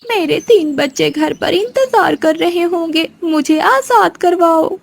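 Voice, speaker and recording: A woman plays a very high-voiced mother crow from a children's cartoon. She is lazy and whiny, and pleads in a plaintive, dramatic manner. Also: expressive, measured pace, creaky, heavily acted. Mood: pleading. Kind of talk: Hindi children's cartoon voice acting.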